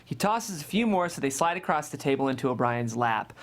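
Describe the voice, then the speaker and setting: A man's voice: medium pitch, animated, brisk. A man reading stage directions aloud as scripted narration at a table read of a screenplay.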